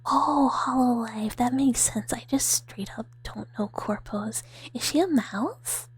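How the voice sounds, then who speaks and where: A woman with a breathy, whispery, soft voice chatting playfully to viewers on an ASMR livestream, rambling and making mock threats. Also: fairly high pitch, slow pace. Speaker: VTuber streamer playing a mouse character.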